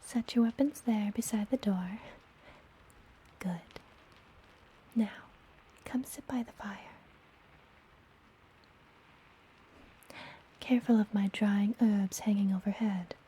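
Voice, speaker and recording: A woman playing a friendly, mysterious witch and healer in an ASMR fantasy roleplay, whispering softly and gently with a teasing manner. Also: mid-range voice, steady tone, slight background noise, close to an everyday voice, low-quality recording. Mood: welcoming, concerned. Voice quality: soft, breathy whisper. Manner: gentle, slow, playfully teasing.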